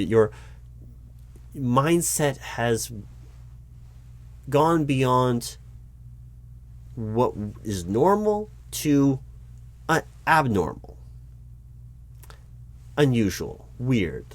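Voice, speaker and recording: A man doing a roleplay as a job interviewer, talking in a deliberate, dry way with frequent ums. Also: mid-range voice, very animated. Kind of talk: scripted ASMR roleplay.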